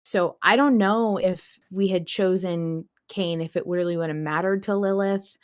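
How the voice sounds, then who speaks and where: A woman with a slightly low voice talks as a podcast host. She speaks in a casual, informal way, as in a fan discussion, and swears lightly as she goes. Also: steady tone, a little slow.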